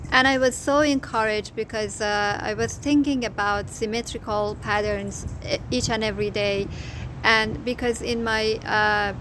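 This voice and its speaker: A woman's voice: on the high side, fairly even intonation, unhurried, a bit bright, rough recording. A middle-aged woman with a Persian accent, whose first language is Farsi, telling her story as a crochet designer in a casual, hesitant way, with many fillers and restarts. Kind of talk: casual personal story to camera. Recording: noticeable background noise.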